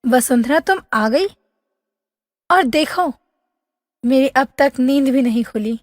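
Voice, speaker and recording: A woman playing a young, dreamy princess in a Hindi fairy tale, speaking in a soft, expressive, dramatic way. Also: fairly high pitch, dry recording, studio quality, long reverb tail, close to an everyday voice.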